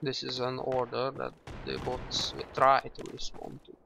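A man with a gravelly, mid-pitched voice walks through a shooter game at an unhurried pace. He explains things patiently, starting sentences with "well" and pausing on "uh". Loud gunfire from the game plays behind him. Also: background noise. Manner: relaxed, explanatory, with frequent "well" and "uh" restarts. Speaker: gameplay tutorial narrator. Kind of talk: casual instructional commentary over gameplay. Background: game gunfire and shooting sounds.

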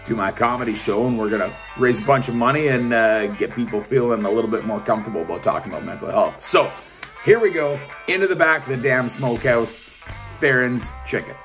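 A man's voice: medium pitch, fairly quick, a bit bright, faint music behind. A Canadian man hosting a casual vlog in a playful, folksy way. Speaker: vlog host, comedian.

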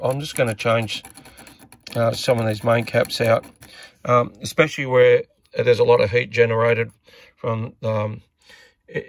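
A man's voice: medium pitch, dry recording. An Australian man giving a casual, unscripted repair walkthrough, hesitantly thinking aloud as he works. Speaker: radio repair hobbyist presenter.

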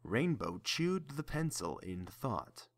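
Guy narrating a story, medium pitch, pretty animated reading. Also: takes it slowly, slight background noise.

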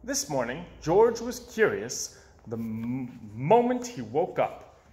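A young man reading a children's story aloud in an expressive way, with dramatic pauses. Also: mid-range voice. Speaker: storybook reader. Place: small indoor room with bookshelves. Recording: roomy, echoey indoor sound.

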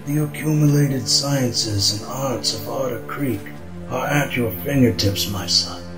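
Portentous alien patriarch, acted by a man, slow and echoing, music underneath, comic-book parody. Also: mid-range voice, natural voice. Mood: solemn. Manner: grandiose, portentous. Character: solemn, ponderous alien father speaking from a glowing crystal. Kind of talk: parody of epic film speech, comic dub. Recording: echoing voice over loud music.

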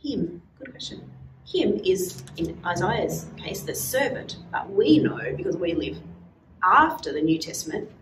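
Lively middle-aged woman teaching kids. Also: fairly low pitch, measured pace, background noise. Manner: animated, gestures while explaining. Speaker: children's church leader. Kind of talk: casual teaching chat for kids. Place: small indoor room, standing at a wall. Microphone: far camera. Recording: roomy sound from a distant camera.

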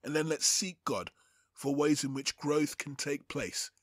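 A man hosting a Bible teaching, speaking in a deliberate, emphatic, phrase-by-phrase sermon style. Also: a little high, no room sound, clean studio sound. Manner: deliberate, emphatic, phrase-by-phrase delivery with rhetorical questions.

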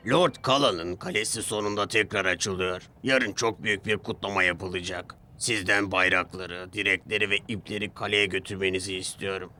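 A man voicing a brisk, formal, authoritative middle-aged railway controller in a children's story. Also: low voice, steady tone, pushed and bright, a little hiss or hum. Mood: businesslike, commanding. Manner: formal, commanding.

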